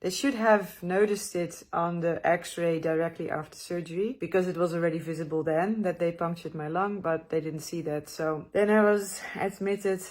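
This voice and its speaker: A woman's voice: fairly low pitch, steady tone, moderate pace, hardly any pauses, a little mellow. Breathless woman vlogger. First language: Dutch. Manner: tired, hesitant, frequent restarts. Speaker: travel vlogger. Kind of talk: casual talk to camera.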